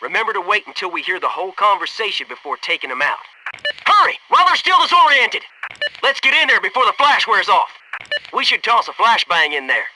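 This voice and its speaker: An American actor playing a brash, upbeat, teasing soldier in a military video game, with punchy, cocky, informal delivery. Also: male voice, high-pitched, wildly swooping pitch, fairly quick, bright, forceful tone, recorded from a distance, a little hiss or hum, heavily acted, rough recording. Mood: urgent.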